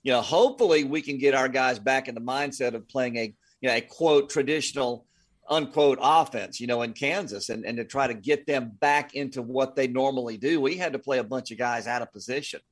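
A man's voice: mid-range voice, fairly quick. An American man, a sports announcer speaking as an interview guest, giving casual analysis.

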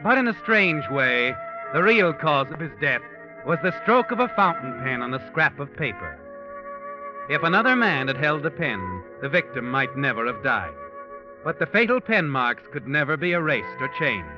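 An actor playing an ominous, all-knowing crime narrator in 1940s radio drama style, grave and deliberate. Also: male voice, on the high side, big pitch swings. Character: ominous omniscient male narrator of a crime mystery. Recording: dull vintage radio recording, loud music behind.

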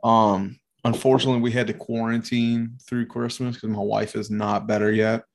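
A man with a nasal voice co-hosts a podcast in a loose, rambling way, swearing and laughing as he goes. His voice sits slightly low in pitch. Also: little pitch movement, moderate pace. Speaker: podcast co-host. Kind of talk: casual podcast chat.

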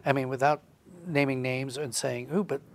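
A man's voice: mid-range voice, level tone, normal speed. A middle-aged man talking reflectively as a guest in a conversational interview, acting out little quotes as he explains. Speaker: guest, audio drama writer.

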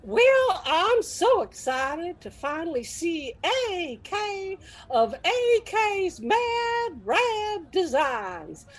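A bubbly lady gushes in a high, slow drawl, sweet with barbs underneath. Her voice swoops dramatically in comedy-sketch style. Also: very animated, exaggerated voice. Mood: gushing. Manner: gushing, folksy, dramatic drawl. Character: bubbly, chatty, folksy woman monster-truck exhibitor, sugary with barbs.

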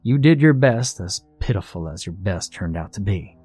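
Man acting a devilish contest host, storybook villain, spiteful, formal, condescending, lowish pitch, dry sound. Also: lively intonation, normal speed, darkish tone, breathy edge, no echo, faint music behind. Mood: haughty, final. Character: sinister devilish male contest master, haughty, spiteful, lawyerly.

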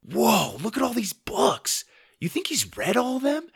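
A man voicing an excitable, mischievous young boy in a children's story, full of exclamation. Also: very high voice, a little slow, vocal fry, slightly rough, pitched above the performer's own voice, exaggerated voice. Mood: excited, cheeky.

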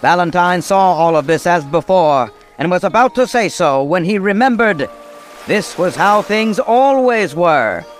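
A man's voice: high-pitched, expressive, measured pace, soft background music. A man narrating an old-time radio mystery from a script in a theatrical, portentous manner.

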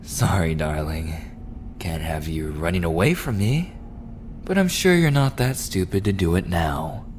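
A man playing a smug, menacing mafia prince in an immersive roleplay audio drama, possessive and coolly charming, with deliberate teasing threats and breathy murmurs. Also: sits low, animated. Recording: clearly noisy background ambience.

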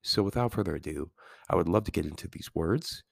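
A man with a very low, calming voice and a gravelly edge talks through his podcast in a loose, rambling way, full of fillers and restarts. He is getting over a cold. The sound is close and dry, with no room in it. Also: fairly quick, no room sound. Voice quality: calming, soothing voice. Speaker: podcast host and teacher. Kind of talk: casual solo podcast talk.

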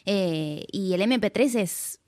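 A woman's voice: mid-range voice, expressive, slow pace, darkish tone. A woman guest singer with a rural Argentine interior accent who drops her S sounds, chatting in an easygoing interview. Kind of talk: casual interview chat.

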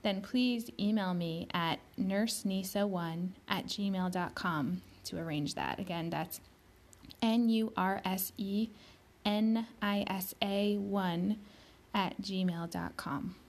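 A woman hosting her own podcast, speaking slowly and deliberately, pronouncing each word carefully, sometimes dropping to a soft whisper. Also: mid-range voice. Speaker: podcast host. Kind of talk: slow, careful spoken podcast introduction.

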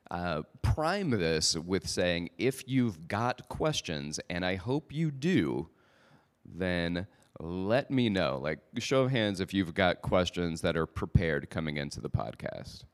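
A man's voice: sits low, very animated, takes it slowly, clean tone. A man hosting a podcast live at a conference, speaking informally and jokingly to the people in front of him. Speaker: podcast host. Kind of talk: live presenting to an audience. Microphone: microphone.

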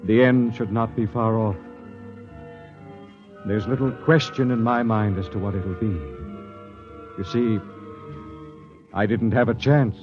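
An American man playing a weary, resigned middle-aged expedition captain in a 1950s radio drama, measured and solemn. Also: fairly low pitch. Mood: solemn, doomed. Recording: dull vintage radio broadcast recording, music.

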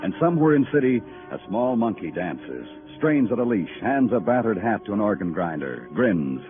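An actor playing a brooding police detective, narrating a 1950s radio noir drama in solemn, clipped poetic phrases. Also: male voice, fairly low pitch, very animated, normal speed. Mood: lyrical, dramatic. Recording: muffled vintage radio recording with music.

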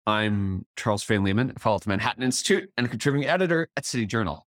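A man co-hosting a talk podcast in casual conversation, animated and stumbling over restarts. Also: fairly low pitch, rapid-fire, no room sound, studio quality. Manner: animated, stammering restarts, laughs while telling anecdotes. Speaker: podcast co-host.

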